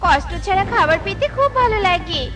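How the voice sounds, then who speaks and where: A woman's voice: very high-pitched, animated, fairly quick, over loud music, over a noisy crowd. A woman voicing a lazy, selfish, greedy female crow in a cartoon, with a brusque, scheming manner. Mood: smug. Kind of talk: Bengali cartoon voice acting.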